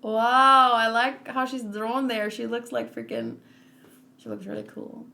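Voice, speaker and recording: A woman giving casual, halting read-along commentary on a comic, with exclamations as she reacts. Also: fairly high pitch, sing-song, bright, forceful tone. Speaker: manga read-along host.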